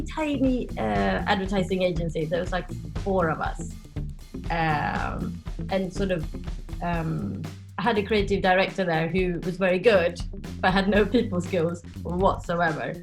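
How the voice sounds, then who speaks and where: A Swedish-raised woman in her forties, a podcast guest chatting casually about her life and laughing often as she tells stories. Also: fairly low pitch. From Sweden. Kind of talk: casual interview chat.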